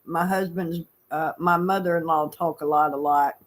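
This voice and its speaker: A woman's voice: low voice, fairly even intonation, moderate pace, slight background noise. Southern lady from florida, blunt talker, laughs a lot. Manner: blunt, opinionated, laughs often. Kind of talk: casual chat.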